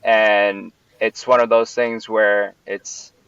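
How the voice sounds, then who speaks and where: A man's voice: fairly low pitch, level tone, slow pace, a bit bright, clear, resonant tone. A man from Puerto Rico, a venue owner, answering interview questions in a rambling way with many fillers and restarts. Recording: distant, dull, lo-fi mic.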